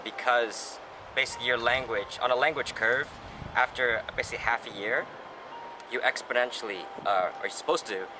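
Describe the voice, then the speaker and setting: A young man hosting a casual chat, talking hesitantly with lots of fillers and restarts. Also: lowish voice. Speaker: host. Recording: noisy outdoor street.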